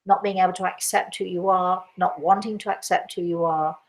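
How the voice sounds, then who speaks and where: A middle-aged woman, a host with a croaky voice, chatting casually and rambling with many restarts as she answers viewer questions. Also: lowish voice, steady tone, a little fast, rough recording. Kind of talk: casual live chat.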